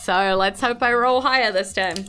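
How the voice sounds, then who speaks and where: A woman with a New Zealand accent runs a tabletop game in a casual, conversational way. Her voice is bright and medium-pitched, with music playing behind it. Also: normal speed. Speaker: game master.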